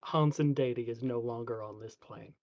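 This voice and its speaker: A man voicing a bold, formal adventurer in a tabletop game, measured and defiant. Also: mid-range voice, dry recording, lo-fi sound, close to an everyday voice. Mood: guarded. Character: bold, formal male adventurer challenging cultists.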